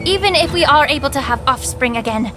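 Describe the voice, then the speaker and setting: A composed, sharp-minded female official in a fantasy video game. Her voice sits fairly high, and she speaks with courteous formality and a pointed edge. Music plays behind her. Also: animated, fast. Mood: cool, accusing. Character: poised, sharp-minded young female chief healer and official. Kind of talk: dramatic video game voice acting.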